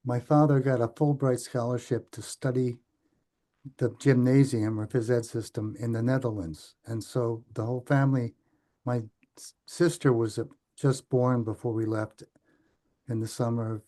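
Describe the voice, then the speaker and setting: An American man in his seventies recalling his life as a podcast guest, speaking in a halting, thoughtful way that often trails off. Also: medium pitch, level tone, mellow. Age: 70s. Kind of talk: reflective interview recollection.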